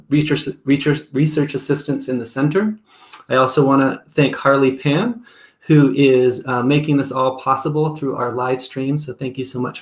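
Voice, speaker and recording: Male event host, sincere and thankful, mid-pitched, slight room echo on a video call. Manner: earnest, grateful, with frequent ums and uhs. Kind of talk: opening remarks on a video call.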